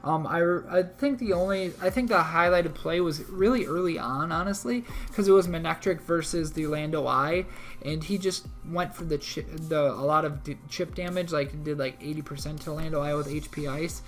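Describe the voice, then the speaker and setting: A man co-hosting a league recap, talking through a match in a rambling, self-correcting way, a little hoarse from a cold. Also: a little high, normal speed, faint music behind. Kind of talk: casual game recap commentary.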